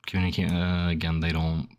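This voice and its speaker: A young man streaming, rambling casually with lots of "like" as he reads survey options aloud and reacts, his throat a little phlegmy. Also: deep voice. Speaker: gaming streamer. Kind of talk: casual commentary while filling out a survey.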